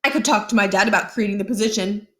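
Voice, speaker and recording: A woman's voice: medium pitch, fast, a bit bright, close to an everyday voice. A smug boy bully played by a woman from a children's book. He carries himself like a slick little politician, pompous and falsely polite, with menace underneath. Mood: smooth, smug. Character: smug schoolboy bully posing as a smooth politician.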